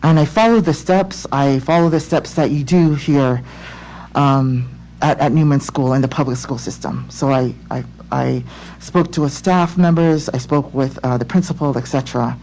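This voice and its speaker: A woman from Tonga speaking as a parent in public comment at a school board meeting, earnest and halting, with many restarts. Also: deep voice, warm tone, a little hiss or hum, rough recording. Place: home room on a video call. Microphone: laptop or webcam mic on video call.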